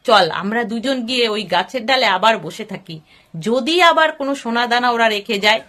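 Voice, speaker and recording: Woman voicing a greedy merchant, high and bright. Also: expressive, normal speed. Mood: eager, coaxing. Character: greedy, eager male merchant friend of a farmer.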